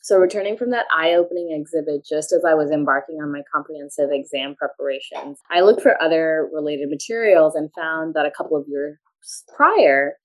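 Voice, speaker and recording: A woman with a notably low voice, born in Pakistan, speaking as a guest professor. She answers in a measured, thoughtful academic manner. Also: poor audio. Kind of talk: academic interview.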